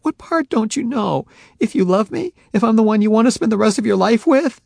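A man's voice: sits high, expressive, a little fast, creaky, darkish tone, no room sound, studio quality, pitched above the performer's own voice, heavily acted. A man reading an audiobook, voicing a heartbroken young woman who pleads tearfully and flares into anger. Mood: tearful insistent. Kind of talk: audiobook character voice.

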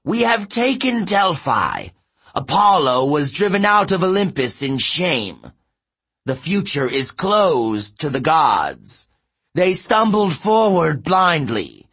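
A man's voice: a little high, very animated, slow pace, a bit bright, husky, voice pushed up, full character voice. A man voicing a condescending, boastful giant counselor, a menacing villain in a fantasy audiobook. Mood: smug boasting. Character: fiery giant counselor, smug, menacing, smooth-talking villain.

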